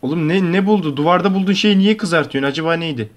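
Fast-talking young man reacting casually. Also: a little high. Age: young adult. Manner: slangy, joking. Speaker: YouTube host reacting to a horror cartoon. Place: bedroom at home.

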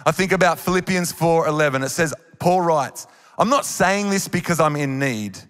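A man's voice: fairly high pitch, expressive, moderate pace, fairly bright tone. Australian man preaching in a reverberant hall. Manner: earnest, encouraging preaching. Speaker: worship leader preaching. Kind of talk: sermon. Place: large church auditorium on stage. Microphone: handheld microphone.

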